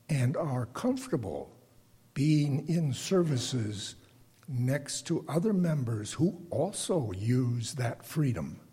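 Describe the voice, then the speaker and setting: Older man, very rough husky voice, soft dark tone, deliberate halting delivery, reflective lay sermon. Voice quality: self-described bass voice. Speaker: congregation member giving a lay sermon. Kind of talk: reflective prepared talk to a congregation.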